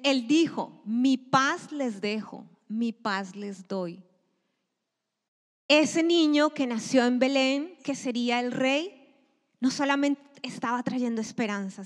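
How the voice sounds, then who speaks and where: A higher-voiced woman preaching a sermon, her lines swelling loud and emphatic and then dropping to a hushed near-whisper. She is miked in a church sanctuary, with a long reverb trailing each phrase.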